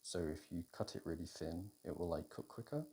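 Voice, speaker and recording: A British man in an intimate, casual ASMR roleplay as a boyfriend cooking, talking in an unhurried, hesitant way as if thinking aloud. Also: deep voice, gravelly, dark tone, breathy edge. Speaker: audio roleplay performer playing a boyfriend cooking. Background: kitchen food preparation sounds.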